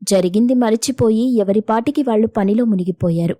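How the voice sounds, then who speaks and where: A woman's voice: a little high, brisk, mellow, dry recording, studio quality. A woman reading scripted narration for a moral story as its narrator.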